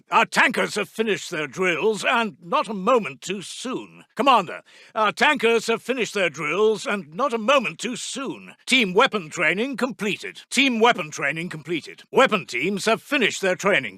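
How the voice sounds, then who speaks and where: A man playing a brisk, dutiful army intelligence officer in a strategy game, giving formal, clipped status announcements. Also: sits high, sharp tone, no echo, studio quality, full character voice. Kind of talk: scripted military status announcements.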